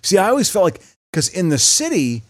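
A man's voice: sits high, huge pitch swings, brisk. A middle-aged man from Chicago, chatting casually as a podcast co-host and rambling through stories with stammered restarts. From Chicago, USA. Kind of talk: casual chat.

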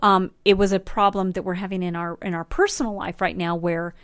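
A woman's voice: fairly low pitch, little pitch movement, a little fast, clean tone, dry recording, clean studio sound. A middle-aged woman from Colorado, a podcast guest, chatting loosely and candidly after a drink. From Colorado, USA. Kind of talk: casual chat.

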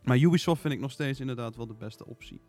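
A man's voice: mid-range voice, quick pace, warm tone, faint music behind. A man chatting casually and agreeably as a panelist on a gaming podcast. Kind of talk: casual chat.

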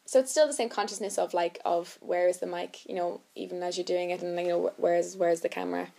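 A woman's voice: fairly low pitch, fairly even intonation, quick pace, vocal fry, dark tone. A young adult woman with an Irish accent, chatting casually as an actress being interviewed. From Ireland.

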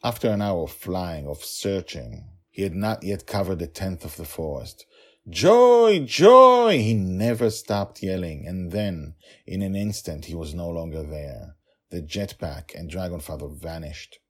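Deep, husky male storyteller. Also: normal speed, a little mellow. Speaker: podcast host and storyteller. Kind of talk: narrating his own serialized fantasy story.

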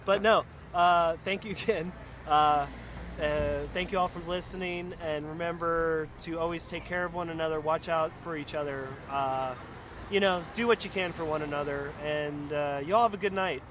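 Middle-aged man, podcast host, higher-pitched voice, effusive, halting, rambling, noisy outdoor street recording. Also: steady tone. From northern United States, grew up partly in the South. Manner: effusive, hesitant, repeats his words. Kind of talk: casual rambling chat. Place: outdoors at a pizza place. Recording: noisy outdoor spot with traffic.

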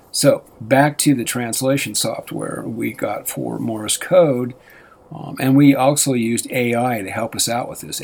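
Husky rambling male radio co-host. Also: mid-range voice, darkish tone. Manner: meandering, many fillers and restarts. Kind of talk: rambling casual radio chat.

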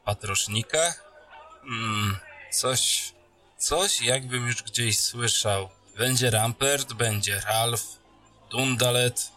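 A man playing a teasing, bright-voiced captain. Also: medium pitch, extremely slow, faint music behind. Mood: friendly. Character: jokey male captain, old friend. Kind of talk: fantasy audio drama acting.

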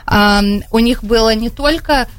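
A woman's voice: on the high side, unhurried, clear, resonant tone. Hesitant woman with an American accent. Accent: American English. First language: English. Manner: hesitant, searching for Russian words, many fillers. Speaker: city council candidate. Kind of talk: radio interview answers. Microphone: studio microphone.

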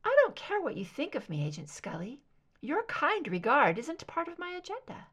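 A woman voicing a composed, mysterious female captor in a clear, soft, delicate soprano, cryptic and deliberate in audio drama style. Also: high-pitched, huge pitch swings, pitched above the performer's own voice, exaggerated voice. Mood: calm, unmoved.

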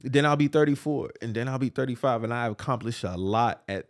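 A man's voice: mid-range voice, quick pace. A man, co-hosting a podcast in casual, emphatic chat and often checking in with "you get what I'm saying?". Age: 30s. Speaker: podcast co-host.

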